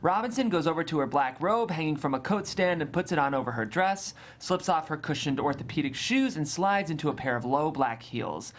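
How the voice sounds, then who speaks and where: A narrator reading scripted stage directions at a table read in a deliberate, descriptive way. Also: mid-range voice, expressive, slight background noise.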